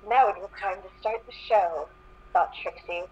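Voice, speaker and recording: A woman's voice: medium pitch, moderate pace, slightly rough. A woman reading a fan fiction story aloud in a live group reading. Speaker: reader in a group comedy reading. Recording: dull lo-fi voice-chat microphone.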